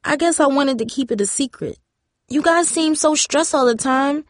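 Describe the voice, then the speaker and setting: A woman's voice: very high voice, expressive, fairly quick, warm tone, dry recording, studio quality, voice pushed up. A woman playing a vulnerable, frustrated teenage girl in a storybook, emotional and pleading. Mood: anxious, confessing.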